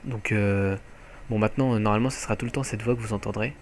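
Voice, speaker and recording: A young man with a French accent giving live commentary on his own online match from home, excitable and jokey, swearing often. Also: fairly low pitch, quick pace, a little hiss or hum. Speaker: gaming commentator. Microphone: headset mic.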